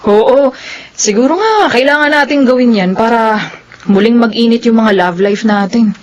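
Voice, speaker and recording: A woman's voice: fairly high pitch, normal speed, natural voice. A woman with a Filipino accent acting an outspoken young woman who teases friends and bristles easily in a radio drama. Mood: curious.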